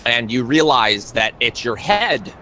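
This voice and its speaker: A man narrating a tabletop roleplay as game master, describing scenes in the second person in a halting way. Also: mid-range voice, very animated, pushed and bright, slight background noise, low-quality recording.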